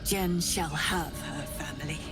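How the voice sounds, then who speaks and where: An actress playing a frail elderly mother in a video game, gentle but firm, with a breathy, whispery voice. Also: deep voice, a little slow, over music. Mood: tender, firm. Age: older. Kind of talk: cinematic video game voice acting.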